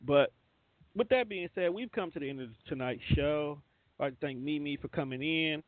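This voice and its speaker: Higher-voiced man hosting over a phone-like line. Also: moderate pace, no room sound. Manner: informal, slangy. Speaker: radio show host. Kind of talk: casual radio show talk. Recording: narrow, phone-like sound.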